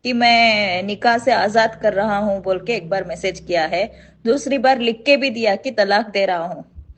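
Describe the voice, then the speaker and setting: A woman on a recorded phone call, speaking deferentially as she questions and acknowledges the other person. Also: medium pitch. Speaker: caller asking questions.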